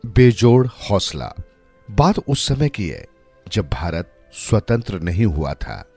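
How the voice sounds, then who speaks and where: A man with a low-pitched voice tells moral stories from a script. His delivery is measured and expressive, with lively swings in intonation, and faint music plays underneath. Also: gravelly, breathy edge, no echo. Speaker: storyteller narrator. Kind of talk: scripted narration of moral tales.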